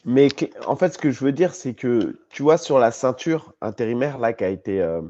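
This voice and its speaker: French man with a cold, mellow lo-fi voice. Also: mid-range voice, expressive, normal speed, dry recording. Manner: insistent, argues his point. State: has a cold, coughing. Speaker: podcast co-host. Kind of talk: casual podcast debate. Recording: dull lo-fi sound.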